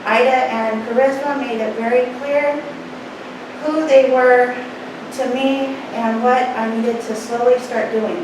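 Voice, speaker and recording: A middle-aged woman delivering a prepared complaint to a board in a formal, deliberate, emphatic way. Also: a little high, fairly even intonation. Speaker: former clinic manager giving public comment. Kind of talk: prepared speech of complaint at a meeting. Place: public meeting room, indoors. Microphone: distant room microphone. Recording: very echoey, noisy room, distant mic.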